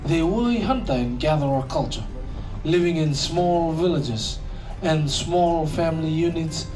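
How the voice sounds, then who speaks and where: A presenter from Fiji addresses a live audience, trading light jokes before settling into storytelling. The voice sits at a middle pitch and comes from some distance away from the mic. Clear music plays behind the talk. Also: animated, a little slow, warm tone. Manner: joking with the crowd, then storytelling. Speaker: cultural show presenter. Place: live show venue with audience.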